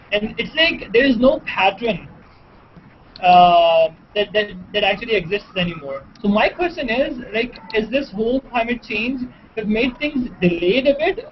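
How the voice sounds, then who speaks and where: Man from pakistan, high pitch, halting, lots of likes, lo-fi call audio, faint hiss. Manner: halting, many likes and restarts. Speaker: guest on the call. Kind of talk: casual questioning. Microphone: video call. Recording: dull lo-fi video call audio.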